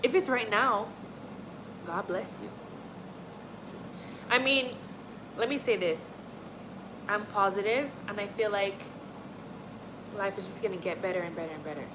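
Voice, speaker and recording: A woman's voice: mid-range voice, a little slow, long pauses, background noise. A young woman from Montreal, chatting casually with her livestream audience in a rambling, friendly way full of "like" and "honestly". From Montreal, Canada. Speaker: livestream host. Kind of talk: casual chat with live chat.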